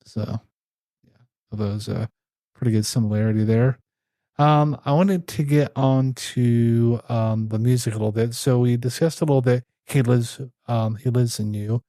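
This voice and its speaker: A man with a mellow, warm tone and a mid-range pitch, hosting a relaxed podcast chat. He guides the conversation from point to point in an easygoing way. The recording is dry and close. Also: normal speed, no room sound. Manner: relaxed, steers conversation to next topic. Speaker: podcast host. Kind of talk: casual podcast discussion.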